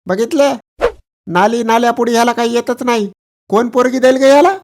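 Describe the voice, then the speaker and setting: A man's voice: extremely high pitch, a little fast, darkish tone, soft background music. An actor playing a short-tempered, mocking village father who blusters and threatens in a comedy cartoon. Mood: scornful, threatening. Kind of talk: exaggerated comedy cartoon dialogue.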